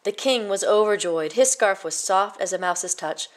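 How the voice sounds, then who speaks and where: A woman storyteller reading a children's picture book aloud in a measured, expressive way. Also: medium pitch, a little hiss or hum.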